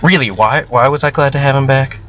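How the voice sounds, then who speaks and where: Bright, forceful male narrator. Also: mid-range voice, fairly quick, muffled recording, slight background noise. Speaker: author reading own novel excerpt. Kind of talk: first-person audiobook-style narration of fiction.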